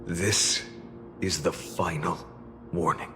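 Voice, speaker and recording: A man voicing a grim, world-weary warrior in a video game, low and gravelly, solemn and restrained. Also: steady tone, takes it slowly, in a large hall, loud music behind the voice. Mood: cold menace. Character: grim, world-weary warrior bearing burning divine flames.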